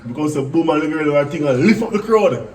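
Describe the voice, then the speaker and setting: A man with a Jamaican accent telling stories casually in Patois in an animated way, acting out what people said. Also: on the high side, fast, crowd noise. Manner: animated, acts out quoted speech. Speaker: musical artist telling career stories. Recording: distant mic with faint background noise.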